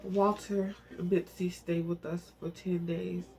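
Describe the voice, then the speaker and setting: A man reading a novel aloud in an informal, emotional, soft storytelling manner, now and then speaking in asides. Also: high-pitched, flat delivery, unhurried, vocal fry, slight background noise. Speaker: amateur book reader and channel host.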